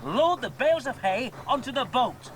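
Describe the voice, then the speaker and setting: A man voicing a friendly, calm young man who takes charge in a children's cartoon, reassuring and instructive. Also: very high-pitched, moderate pace, slight background noise, pitched above the performer's own voice. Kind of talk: children's cartoon character acting.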